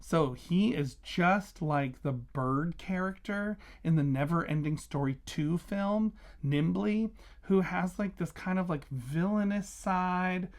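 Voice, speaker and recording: A man talking casually as a vlogging reviewer, gushing with emphatic, enthusiastic delivery. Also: a little high. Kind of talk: casual chatty book review vlog.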